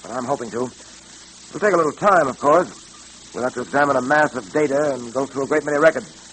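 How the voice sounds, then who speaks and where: An actor playing a serious, determined police detective in an old-time radio drama, businesslike in manner. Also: male voice, medium pitch, a bit bright, breathy edge. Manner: measured wording, serious, businesslike. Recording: noisy old radio recording, hum.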